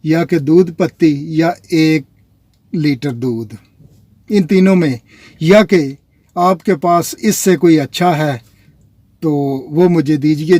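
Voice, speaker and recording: A man's voice: a little high, warm tone, no echo. A man from Pakistan, vlogging in a casual, rambling way and talking straight to his viewers. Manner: chatty, rambling, addresses viewers as nazreen. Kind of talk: casual vlog talk to viewers.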